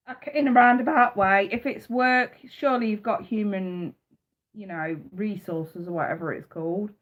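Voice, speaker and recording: A woman with a stuffy, cold-bunged nose reads tarot cards live, blunt and fired up, swearing without a second thought. Her voice sits in an ordinary middle pitch. The stream audio comes through slightly dull and lo-fi. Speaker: tarot reader.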